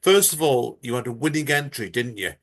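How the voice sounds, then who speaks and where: A man hosts a casual podcast chat with a middle-pitched voice. He talks in a chatty, rambling way, full of enthusiasm for his guest. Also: animated, a little fast, fairly bright tone. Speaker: podcast host.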